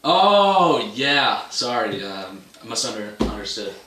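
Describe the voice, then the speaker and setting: A man's voice: on the low side, very animated, slow pace, sharp tone, heavily acted. A young man playing a chummy, bragging old buddy with a hearty, casual delivery in an amateur stage play. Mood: puzzled, concerned. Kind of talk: amateur one-act stage play dialogue. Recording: echoey room, distant mic, noticeable noise.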